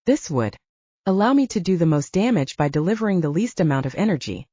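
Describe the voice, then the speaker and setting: Dark-voiced woman narrator, lively pitch swings. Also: a little fast, husky, no room sound, highs cut off. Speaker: audiobook narrator. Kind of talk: scripted first-person fiction narration.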